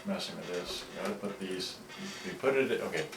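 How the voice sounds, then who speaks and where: A man giving a casual, unscripted demonstration as a repairer, halting and muttering his thoughts aloud as he handles gear. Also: deep voice, vocal fry. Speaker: repairer demonstrating cassette players. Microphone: camera on tripod at some distance. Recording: roomy, distant mic, loud background noise.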